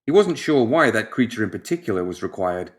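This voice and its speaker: Lowish-voiced man narrating fiction. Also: a little fast. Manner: expressive storytelling. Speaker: audiobook narrator.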